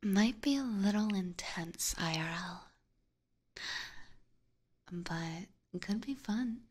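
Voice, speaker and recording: A woman doing casual ASMR chat in a soft, breathy whisper close to a binaural mic, informal and hesitant, chatting to viewers. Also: mid-range voice, takes it slowly, slight background noise. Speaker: ASMR streamer and host. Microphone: close binaural ear microphone.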